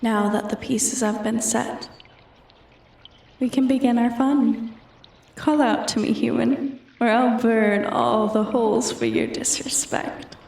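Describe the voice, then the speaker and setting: A woman voicing a bored, menacing female dragon who taunts a human thief in a slow, imperious, deliberate manner for a roleplay ASMR. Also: on the high side, fairly even intonation, vocal fry, a little mellow, echoey room, recorded from a distance. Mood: menacing, taunting. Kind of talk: roleplay ASMR, scripted character acting.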